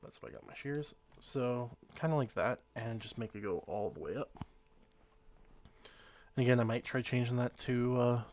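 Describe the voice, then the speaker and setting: A man with a slightly hoarse voice from being sick, casually talking through his gameplay in a rambling, easygoing way. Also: mid-range voice, moderate pace, darkish tone. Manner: rambling, easygoing, self-correcting. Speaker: let's play host.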